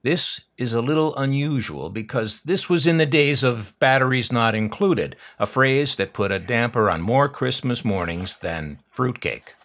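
A man's voice: medium pitch, expressive, unhurried, hardly any pauses, fairly bright tone. An older American man narrating an unboxing video with wry, dry humor and nostalgic asides. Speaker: host of a collector's unboxing channel.

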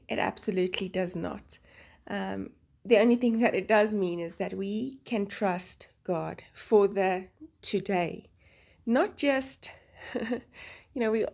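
A woman's voice: medium pitch, darkish tone. A woman with a South African accent whose first language is Afrikaans, chatting with frequent laughs in a craft voice-over. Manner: chatty, laughs often between phrases. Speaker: Bible journaling channel host. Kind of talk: voice-over narrating a craft process.

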